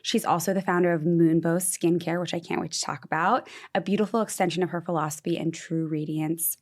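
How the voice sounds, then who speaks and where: A woman's voice: fairly low pitch, steady tone, brisk. A woman hosting a podcast, speaking in a conversational interview style. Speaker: podcast host.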